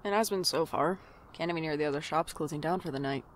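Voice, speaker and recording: A woman's voice: low-pitched, fast talker, slight background noise, natural voice. A woman playing a wry, jumpy night-shift shop worker who narrates an audio drama in a dry, sardonic way. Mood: dry, unimpressed. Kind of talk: audio drama acting with first-person noir narration. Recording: music bed behind the voice.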